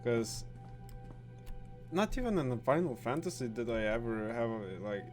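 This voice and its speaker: A man doing casual gameplay commentary, halting and repeating words, with frequent swearing. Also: mid-range voice, expressive, darkish tone, faint music behind. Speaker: gaming video host.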